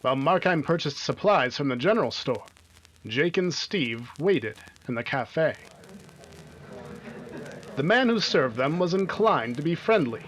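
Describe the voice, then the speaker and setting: A male narrator tells an old-time radio adventure story from a script. His voice is a little high for a man. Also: lively intonation, unhurried, pauses often, fairly bright tone, rough recording.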